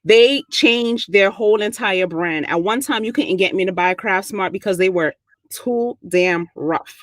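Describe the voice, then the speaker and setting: A woman's voice: medium pitch, measured pace, no room sound. A woman from Brooklyn with a New York accent, chatting casually and sassily as a knitting mentor on a livestream. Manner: sassy, chatty, laughs often.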